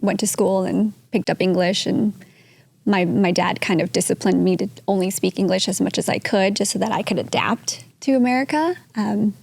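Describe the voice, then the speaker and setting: Woman guest with a gravelly edge. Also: medium pitch, moderate pace, darkish tone. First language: Vietnamese. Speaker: guest, real estate entrepreneur. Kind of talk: casual interview conversation.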